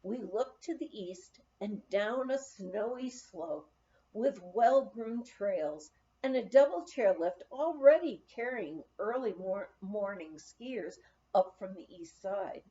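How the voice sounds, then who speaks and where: Lively, unhurried woman reading aloud. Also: medium pitch, dull audio. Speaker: audiobook reader. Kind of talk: scripted narration of a humorous memoir, read aloud.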